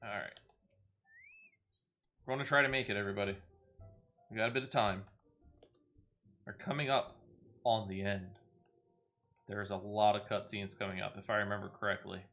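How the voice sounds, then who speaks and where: A man's voice: fairly low pitch, steady tone, normal speed, long pauses, sharp tone. A man giving casual commentary as he plays through a video game. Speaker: gaming streamer. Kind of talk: casual game playthrough commentary, reading dialogue aloud.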